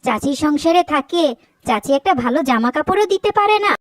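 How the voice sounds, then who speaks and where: A woman voicing a scheming, sharp-tongued middle-aged mother in a cartoon, with an emphatic, scolding way of talking. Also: sits high, expressive, normal speed, no echo. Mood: bossy. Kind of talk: Bengali cartoon voice acting.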